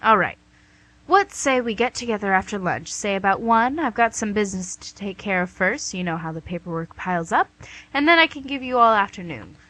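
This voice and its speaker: A woman's voice: mid-range voice, lively intonation, fairly quick, pushed and bright, poor audio. A woman playing a sly, imperious devil as an impatient boss in an amateur radio comedy. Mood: brisk, bossy.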